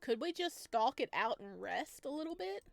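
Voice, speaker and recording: A woman hosting a gaming video, giving casual, playful and expressive let's play commentary as she reacts aloud. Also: high voice, moderate pace, vocal fry, no room sound, soft background music.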